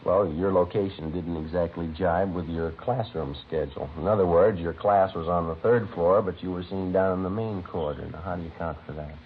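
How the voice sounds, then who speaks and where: An actor playing a terse, methodical American police sergeant, matter-of-fact and procedural, in 1950s radio drama style. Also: male voice, sits low, fairly quick, few pauses, narrow, tinny audio. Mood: probing, dry. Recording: scratchy, band-limited vintage radio recording.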